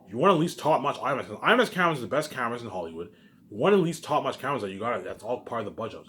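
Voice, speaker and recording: A man talks very quickly in a casual, rambling monologue at an average pitch. He repeats himself for emphasis and keeps punctuating his points with rhetorical check-in questions. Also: big pitch swings, fairly bright tone. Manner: repetitive, emphatic, with frequent rhetorical tag questions. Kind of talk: rambling casual monologue about personal plans.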